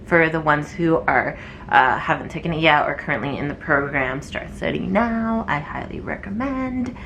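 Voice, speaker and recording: A woman's voice: low-pitched, animated, normal speed, fairly bright tone, a little hiss or hum. A young woman, a nursing student, chatting casually to camera with lots of fillers, her voice wearing thin from talking. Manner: chatty and rambling, many fillers. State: losing her voice.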